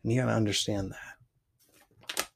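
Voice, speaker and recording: A man with a fairly low voice talks casually about where he comes from. He drifts along reflectively, full of "like" and false starts. When he reads his poem, his delivery turns into punchy, building lists. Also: level tone, a little slow, creaky, slight background noise. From Portland, Oregon. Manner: loose and reflective, with many fillers and restarts. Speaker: podcast host and poet. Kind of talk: casual talk and poetry reading.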